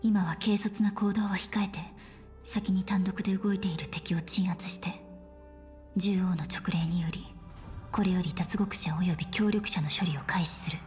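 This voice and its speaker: Actress: composed woman general, low, crisp commands, music faint behind. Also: steady tone, a little mellow, natural voice. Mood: firm. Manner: firm, brisk orders. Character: decisive woman commander, calm and resolute. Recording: game audio with faint music.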